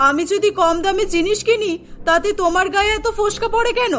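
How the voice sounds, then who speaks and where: Woman acting as middle-aged cartoon mother-in-law, very high-pitched, sharp bright tone, bossy, self-justifying, theatrical. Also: a little fast. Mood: defensive. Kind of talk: dramatised cartoon story dialogue. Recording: voice over prominent background music.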